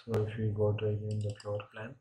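Flat-toned man giving a software tutorial, noisy recording. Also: on the low side, brisk. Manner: often ends phrases with a questioning tag. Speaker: software instructor. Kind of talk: step-by-step screen tutorial, unscripted.

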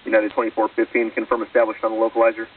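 A man working as an air traffic controller speaks in a quick, flat delivery with clipped procedural phrasing. He comes over a narrow, phone-like radio link that sounds distant and a little husky. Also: on the low side. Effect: aviation radio.

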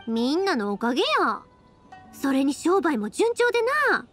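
A woman's voice: sits high, sing-song, a little slow, a bit bright, soft background music, heavily acted. A woman playing a cheerful young fireworks maker in an anime game, breezy and friendly, with a Kansai accent. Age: young adult. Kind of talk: Japanese anime game voice acting.

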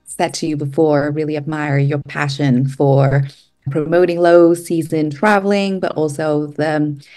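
Lower-pitched woman. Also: fairly even intonation, a little slow, dark tone, soft background music. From Vietnam. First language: Vietnamese. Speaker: podcast guest, travel company manager. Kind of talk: conversational interview answers.

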